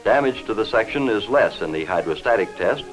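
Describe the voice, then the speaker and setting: Male American narrator, lowish voice, formal 1950s newsreel delivery, measured and factual, music underneath. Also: lively intonation, low-quality recording. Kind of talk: 1950s scripted industrial film narration. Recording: vintage 1950s film soundtrack.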